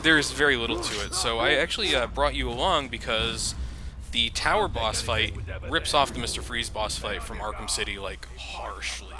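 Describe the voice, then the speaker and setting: A man's voice: medium pitch, normal speed, a crowd in the background. A man hosting a video game playthrough with casual, rambling, conversational commentary. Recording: clearly noisy background.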